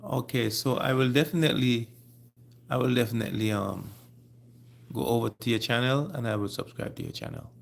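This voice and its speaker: A man with a Jamaican accent hosting a casual live stream, speaking haltingly and repeating his phrases. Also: mid-range voice, big pitch swings, gravelly, slight background noise. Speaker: livestream host.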